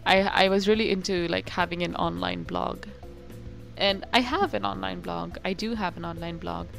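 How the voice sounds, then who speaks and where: A woman's voice: on the low side, fairly even intonation, normal speed, creaky, faint music behind. A young woman co-hosting a podcast in casual chat, giggly and rambling, breaking into playful rants. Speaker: podcast co-host.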